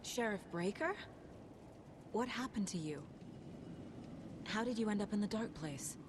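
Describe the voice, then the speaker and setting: A woman's voice: mid-range voice, animated, a little slow, noisy background, lightly acted. An actress playing a determined woman agent in a video game, speaking in cutscenes and inner monologue. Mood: concerned. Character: determined woman agent searching a nightmare world.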